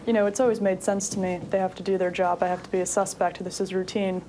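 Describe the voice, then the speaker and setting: A woman with a warm, mellow voice speaks quickly and reflectively. Faint ambient noise sits in the background. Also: mid-range voice, flat delivery. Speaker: jail inmate. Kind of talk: documentary interview.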